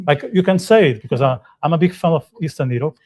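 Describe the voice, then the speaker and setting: A man's voice: fairly high pitch, fast talker, darkish tone. A man co-hosting a live writers' podcast in casual chat, joking and putting questions to his guests. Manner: chatty, joking, asks the others questions. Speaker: podcast co-host. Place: hotel room, live session.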